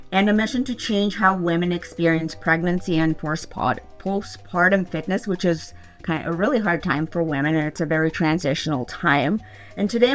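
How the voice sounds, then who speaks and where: A woman hosting a podcast, delivering prepared introductions and interview questions. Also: lowish voice. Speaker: podcast host.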